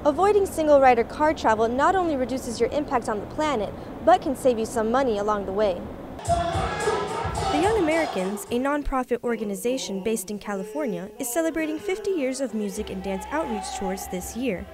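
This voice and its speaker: A woman reading scripted television news reports as a reporter, with crisp broadcast enunciation. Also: a little high, animated, brisk, over music.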